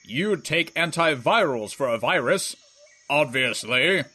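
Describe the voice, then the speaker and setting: A man performs an earnest, trigger-happy and none-too-bright soldier in a comedy audio drama. He speaks slowly and self-importantly, somewhat high, with a lot of rise and fall. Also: expressive, slightly rough, exaggerated voice. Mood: eager. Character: slow-witted, trigger-happy male soldier, earnest and pompous.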